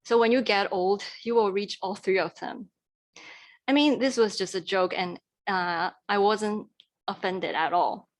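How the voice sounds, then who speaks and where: A woman's voice: medium pitch, a little slow. Earnest young woman presenting. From Taiwan. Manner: earnest, careful delivery with fillers and restarts. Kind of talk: presentation talk.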